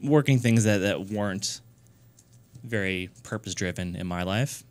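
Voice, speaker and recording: Man, 30s, from New Hampshire. Low, smooth voice; earnest interview guest who restarts often. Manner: earnest, halting, many fillers and restarts. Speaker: guest, nonprofit founder. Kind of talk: casual radio interview.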